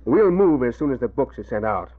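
A man playing a smug, calculating racketeer who speaks in an unhurried way, in 1940s radio acting. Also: mid-range voice, very animated. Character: scheming male racketeer, smug and cold. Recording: tinny old radio broadcast.

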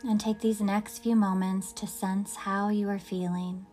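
A woman leading a guided yoga nidra meditation in a gentle, soothing, unhurried manner with long pauses. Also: medium pitch, little pitch movement, soft background music.

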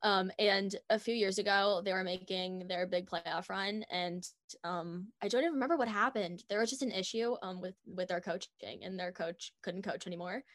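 A woman's voice: medium pitch, normal speed, few pauses. A young woman from North Carolina co-hosting a podcast, telling stories in a chatty, giggly way full of fillers. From North Carolina, USA. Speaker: podcast co-host. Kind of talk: casual podcast storytelling.

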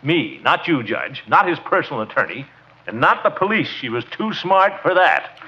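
A man's voice: a little high, very animated, moderate pace, pushed and bright, a little hiss or hum. An actor playing a pompous, flustered club man who blusters and hems and haws in an old-time radio comedy. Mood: indignant, self-important. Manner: blustering, hemming and hawing. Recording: muffled vintage radio recording.

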